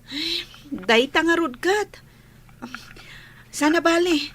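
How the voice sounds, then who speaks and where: A woman playing a concerned young village friend in a radio drama, conversational and drawn-out, with a Filipino accent. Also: very high-pitched, fairly bright tone, a little hiss or hum, exaggerated voice. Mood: casual concern.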